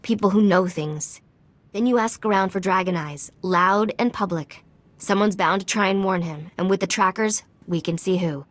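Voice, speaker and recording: An actress playing an earnest, resourceful informant in a video game, speaking in short, clipped sentences. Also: female voice, medium pitch, moderate pace, natural voice. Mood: earnest, urgent.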